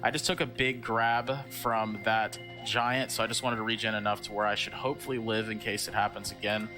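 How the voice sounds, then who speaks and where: A man casually commenting on his own speedrun, chatty and relaxed, with frequent fillers. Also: lowish voice, a little fast. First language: English. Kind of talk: casual commentary while playing. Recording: game music behind voice. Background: game music.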